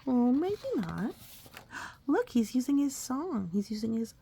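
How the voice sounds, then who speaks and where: A woman reading a picture book aloud to a young child, expressive and coaxing, often dropping into a breathy whisper and adding chatty asides. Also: on the high side, measured pace. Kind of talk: storybook read-aloud with conversational asides to a child.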